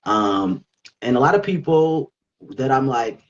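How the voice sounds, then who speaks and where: A man's voice: medium pitch, very animated, measured pace. A man speaks as a talk show co-host. His delivery is informal and conversational, like someone sharing anecdotes with a friend. The recording is a touch dull and lo-fi. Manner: informal, conversational, quotes himself. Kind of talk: casual anecdotal chat.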